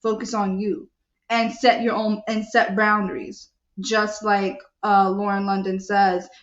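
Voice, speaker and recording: Animated woman host, echoey lo-fi sound. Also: mid-range voice, unhurried. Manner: animated, preachy, asks the audience questions. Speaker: show host. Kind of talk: live show talk reading viewer comments.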